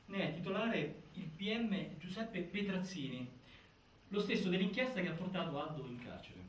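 An actor playing a grave narrator of a prison death inquiry, reciting findings with emphatic delivery and halting pauses in theatrical documentary style. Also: male voice, high-pitched, expressive. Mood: grave, insistent. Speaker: stage actor narrating an investigation. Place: indoor stage, picked up from afar. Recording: distant, echoey, noisy theatre recording.

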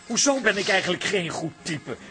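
Thrifty, hapless husband, earnest, high and animated, radio comedy. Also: male voice, fairly quick, soft background music, exaggerated voice. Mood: miffed. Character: hapless, thrifty husband, easily miffed pub man. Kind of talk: radio comedy drama acting.

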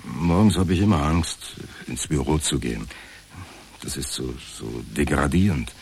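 An actor playing an insecure, downtrodden insurance clerk in a radio drama, talking in a halting, self-pitying way. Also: male voice, very deep voice, gravelly, a little mellow, slight background noise. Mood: dejected, anxious. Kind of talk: naturalistic radio drama acting.

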